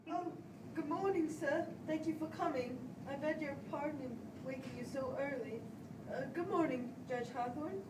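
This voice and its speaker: A woman's voice: sits high, normal speed, lightly acted. A woman acting an anxious, distraught Puritan minister in an amateur play, pleading and deferential with a stammer. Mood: urgent, deferential. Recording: echoey room, far mic, hissy noise.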